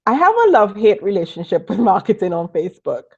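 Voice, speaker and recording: A woman from Trinidad with a Trinidadian accent mixed with British tones, giving a lively live online business training and repeating key points for emphasis. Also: medium pitch, fast, creaky, slightly rough, muffled recording. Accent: Trinidadian, mixed with British and Northern Irish. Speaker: business coach.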